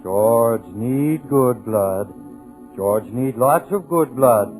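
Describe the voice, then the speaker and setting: A man's voice: mid-range voice, animated, very slow, rough recording. A man playing a simple-minded male killer in an old radio drama, talking in broken, droning repetitions. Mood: menacing. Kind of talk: 1940s radio drama acting. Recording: old radio recording with music behind.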